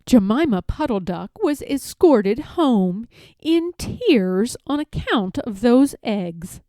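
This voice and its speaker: Expressive woman reading a children's story. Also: fairly high pitch, slow pace, no room sound, clean studio sound.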